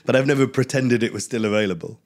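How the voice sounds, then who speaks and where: A man co-hosting a podcast in casual chat, stuffy from a cold, joking and quick-witted. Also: medium pitch. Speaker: podcast co-host.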